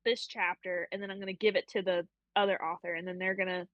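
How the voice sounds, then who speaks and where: A woman's voice: on the low side, little pitch movement, quick pace, no room sound, dull audio. A young woman co-hosting a casual book club chat, rambling and self-deprecating with frequent laughs. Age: young adult.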